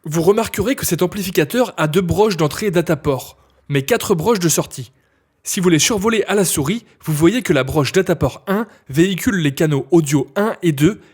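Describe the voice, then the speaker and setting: Higher-pitched husky male tutorial narrator. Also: darkish tone. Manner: clear step-by-step instruction. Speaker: instructor.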